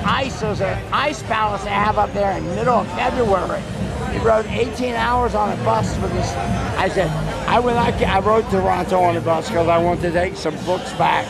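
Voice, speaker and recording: A very high-voiced American man chats his way through an informal street interview, throwing in questions and little anecdotes. Loud crowd noise and music surround him outdoors. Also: moderate pace, creaky, low-quality recording. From United States. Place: busy city street at a parade. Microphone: handheld camera, held by the speaker.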